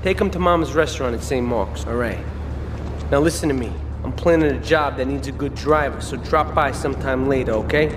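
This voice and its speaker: A man's voice: mid-range voice, fairly quick, over music, close to an everyday voice. A man playing a cocky, bossy young mobster mechanic in a video game, with slangy New speech. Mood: businesslike. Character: cocky young Italian-American mobster mechanic handing out jobs. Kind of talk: video game cutscene acting. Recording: echoing hall, street and vehicle noise.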